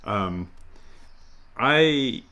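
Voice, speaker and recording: A man's voice: medium pitch, expressive, very slow, sharp tone, clean tone. A man asking questions in a casual, informal way, phrasing them loosely and tentatively as he goes. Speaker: interviewer asking audience questions.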